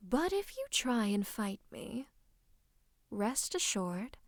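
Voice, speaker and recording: A woman's voice: mid-range voice, no room sound, studio quality. A woman playing a scheming royal advisor and sorcerer in an ASMR roleplay, smug and mock-courteous, slipping into menacing whispers. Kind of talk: ASMR roleplay, theatrical monologue to the listener.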